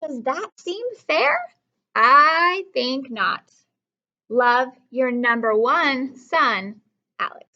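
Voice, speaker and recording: Casting: woman as persuasive young son in a storybook, self-important and emphatic, unhurried, high voice. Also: animated, bright, forceful tone, dull audio, full character voice. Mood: indignant. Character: persuasive, self-important school-age boy writing to his dad. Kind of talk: storybook read-aloud.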